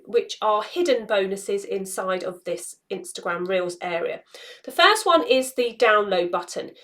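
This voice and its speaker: A woman's voice: on the high side, fairly bright tone. A woman around her forties hosting a casual instructional walkthrough, explaining things step by step. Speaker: social media tutorial host. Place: small indoor room.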